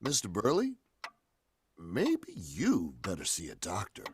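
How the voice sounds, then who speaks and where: A man's voice: lowish voice, huge pitch swings, slow pace, slight background noise, exaggerated voice. An actor playing a hard-nosed, skeptical male estate agent in dramatic, emphatic old-time radio horror style.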